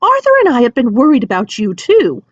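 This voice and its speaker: A woman's voice: on the high side, wildly swooping pitch, fairly quick, husky, no echo, dull audio, voice pushed up, exaggerated voice. A woman voicing a bustling mother witch in a storybook, fussing and bossy but fond. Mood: worried scolding.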